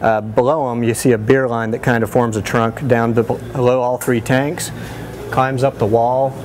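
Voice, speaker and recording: A man in his thirties, a head brewer giving a casual tour of his small brewery, relaxed and full of fillers and restarts. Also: mid-range voice, moderate pace. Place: small brewery inside a bar, among steel tanks. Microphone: lapel mic.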